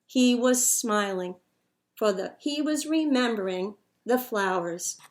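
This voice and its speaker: A woman tells a children's story in a high voice, taking her time. She reads expressively and dramatically, savoring the words. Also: expressive, slow. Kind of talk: expressive picture-book read-aloud.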